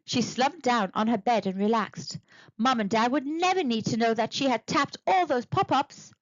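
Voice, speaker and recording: A woman narrating a children's storybook in an expressive, deliberate read-aloud manner. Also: a little high, dry recording, dull audio. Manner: animated, deliberate.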